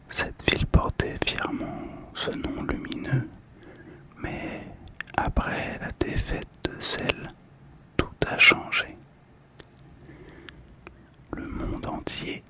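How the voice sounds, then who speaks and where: Male ASMR host, fully whispered, unhurried and soft, calm storytelling delivery. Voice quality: breathy, unvoiced whisper. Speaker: host of a relaxation channel about collectible cards.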